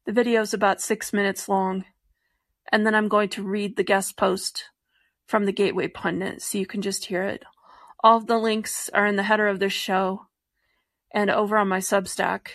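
American woman hosting, creaky voice. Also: mid-range voice, fairly even intonation, normal speed, fairly bright tone, dry recording, clean studio sound. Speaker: podcast host. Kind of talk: informal spoken show introduction.

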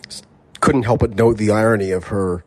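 Low-voiced man hosting, careful. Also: fairly even intonation, moderate pace. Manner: careful, qualifying his claims with restarts. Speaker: podcast host. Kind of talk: casual chat.

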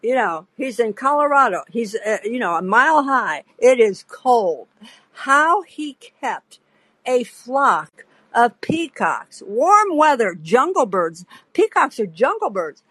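A woman's voice: fairly high pitch, very animated, takes it slowly, fairly bright tone, slightly rough, no room sound, poor audio. An older woman hosting a podcast, telling long, digressive anecdotes in a chatty way and breaking off to laugh. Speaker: podcast host. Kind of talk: rambling anecdotal storytelling.

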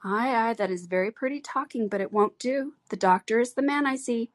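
A woman's voice: on the high side, fairly quick, no room sound, studio quality, pitched above the performer's own voice, natural voice. A woman reading aloud, voicing a jolly, teasing older matron. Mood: knowing. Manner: teasing, hearty.

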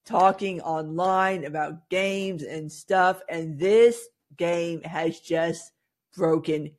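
A man's voice: a little high, steady tone, takes it slowly. A man co-hosting a podcast, talking casually and giving his opinions. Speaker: podcast co-host.

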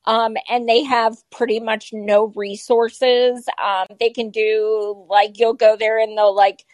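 A woman's voice: fairly high pitch, flat delivery, slow, darkish tone, dry recording. A woman organizer and journalist, explaining casually on a podcast with lots of fillers. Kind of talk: podcast conversation.

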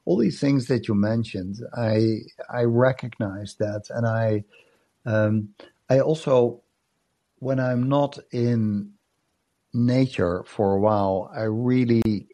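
A man co-hosting a podcast in casual chat, losing his voice and clearing his throat, often repeating words as he restarts his thoughts. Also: medium pitch, takes it slowly, warm tone. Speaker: podcast co-host. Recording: call-in app audio.

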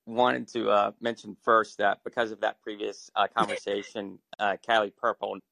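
Low gravelly man, hesitant. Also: level tone, moderate pace, pushed and bright, dry recording, rough recording. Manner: hesitant, many ums and restarts. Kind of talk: casual podcast chat.

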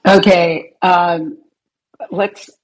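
A host with a mid-range voice moderates an online discussion at a very slow pace. The manner is conciliatory and a little hesitant, in casual conversational language. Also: medium pitch, level tone, low-quality recording. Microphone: remote app call.

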